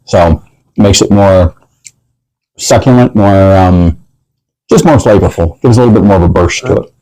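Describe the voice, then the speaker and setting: Male host, folksy and chatty, relaxed dark tone, dry close sound, casual tasting-show interview. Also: deep voice, normal speed, no room sound, rough recording.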